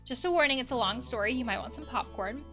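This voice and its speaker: A woman's voice: on the high side, fast talker, fairly bright tone. A young adult woman hosting a casual game let's play, reading on-screen text aloud and adding reflective, conversational asides. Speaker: let's play host, counselor. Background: background music.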